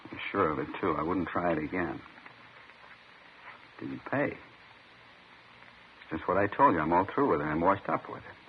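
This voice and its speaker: An American actor playing a worn-down burglary suspect who repeats himself defensively and pleadingly in 1950s radio drama style. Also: male voice, sits low, expressive, unhurried, pauses often. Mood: weary pleading. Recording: muffled old radio recording with hiss.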